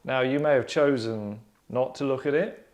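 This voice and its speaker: A man in his thirties hosting a casual group discussion, talking in a hesitant, rambling, deadpan way. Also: mid-range voice, moderate pace. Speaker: host and narrator of a table-read show. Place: small furnished lounge, indoors.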